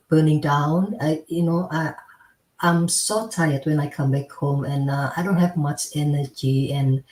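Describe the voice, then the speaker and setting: Low-voiced woman on an echoey call. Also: dark tone. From Indonesia. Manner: hesitant, many fillers and restarts. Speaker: interview guest, caregiver. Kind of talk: casual interview chat.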